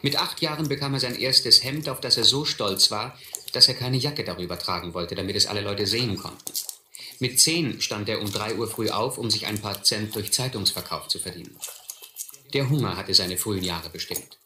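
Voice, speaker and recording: A very bright, forceful voice in an echoey recording with faint noise. a grown man looking back on his boyhood, narrating a radio play with measured, literary phrasing. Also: lowish voice. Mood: reflective.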